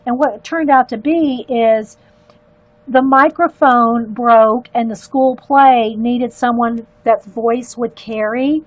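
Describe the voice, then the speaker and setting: An older woman with a loud, carrying voice chats to her viewers and keeps wandering off on side stories. Her voice sits a little high for a woman, and the sound is close and dry with no room around it. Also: slow pace, dry recording, lo-fi sound. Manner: rambling, chatty asides to viewers. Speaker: livestream artist. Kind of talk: casual chat while coloring.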